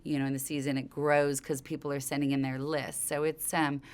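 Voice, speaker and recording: Female co-founder, interview guest: low register, clean resonant tone, informal and discursive delivery. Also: flat delivery, fairly quick. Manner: informal, rambling, circles back mid-thought. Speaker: company co-founder being interviewed. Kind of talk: conversational interview storytelling.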